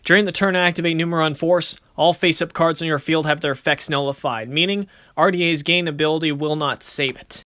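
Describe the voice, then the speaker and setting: A man's voice: a little high, fairly even intonation, fairly quick, few pauses, bright, forceful tone, no echo. A man voicing a confident, cocky but good-natured young card duelist in casual, swaggering banter for an amateur anime-style series. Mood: battle-ready, confident.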